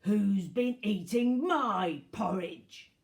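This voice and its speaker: A woman voicing a big, indignant father bear in a children's story, in a loud, gruff, booming voice. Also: animated, drawn-out words. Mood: gruff indignation.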